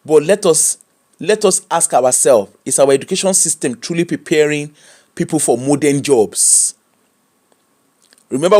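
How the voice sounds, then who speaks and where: Animated Nigerian man ranting. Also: a little high. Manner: animated, switches into pidgin and mimics people. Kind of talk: opinionated commentary.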